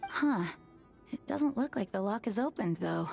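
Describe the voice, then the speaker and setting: A woman voicing a dutiful, gracious young knight leader in an anime game, speaking in a formal, measured and thoughtful way. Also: medium pitch, expressive, creaky, a little mellow, faint music behind. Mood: pondering.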